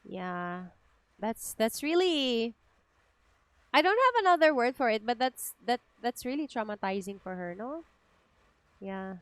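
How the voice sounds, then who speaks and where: A woman's voice: a little high, sing-song, a little slow, pauses often, fairly bright tone, smooth, no echo. A woman with a Filipino accent giving casual livestream commentary on a game, switching between languages as she goes. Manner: code-switches between Tagalog and English. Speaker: game streamer.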